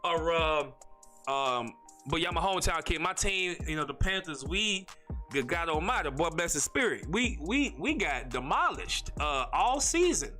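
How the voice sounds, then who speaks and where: A man from North Carolina, hosting a casual solo podcast in a rambling, slangy way, talking fast with a stutter. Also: a little high, lively intonation, bright, forceful tone, soft background music. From North Carolina, USA. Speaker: podcast host.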